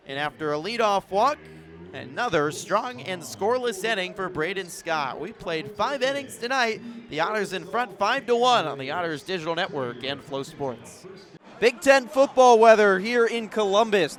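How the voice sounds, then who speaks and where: High-pitched American man calling play-by-play. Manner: measured delivery rising to excited calls. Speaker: play-by-play sports announcer. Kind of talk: sports radio and TV play-by-play commentary.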